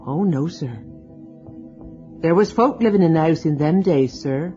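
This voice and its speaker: A woman's voice: low-pitched, measured pace, mellow, soft background music. Old charwoman: servile, hinting, superstitious. Dull lo-fi radio recording. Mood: gossipy. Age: older. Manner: deferential, hinting, rustic turns of speech. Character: gossipy, foreboding old English charwoman, deferential and superstitious. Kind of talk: radio drama acting.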